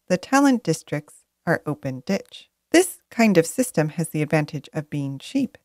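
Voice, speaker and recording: A woman narrating a scripted educational video in a lively, emphatic way, stressing questions. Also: fairly low pitch, dry recording, clean studio sound. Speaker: presenter of land-buying explainer videos.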